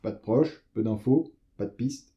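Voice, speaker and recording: A man's voice: on the low side, a little slow, lo-fi sound, natural voice. A man playing a talkative, jittery screenwriter in an audio drama, colloquial and a little hesitant. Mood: musing. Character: chatty, jittery male screenwriter, politely hospitable.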